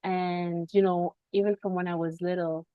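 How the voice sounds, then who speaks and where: A woman with a fairly low voice hosts a spiritual podcast over a video call. She talks in a reflective, unhurried way and often laughs between thoughts. The sound is dry and close. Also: flat delivery, clean tone, no echo, phone-quality sound. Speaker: podcast host.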